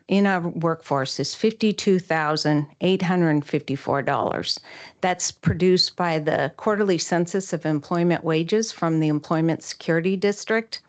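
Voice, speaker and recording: Middle-aged woman at the council mic, fairly low voice, earnest and steady, reading off numbers. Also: a little slow. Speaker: public commenter at city council. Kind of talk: prepared public comment.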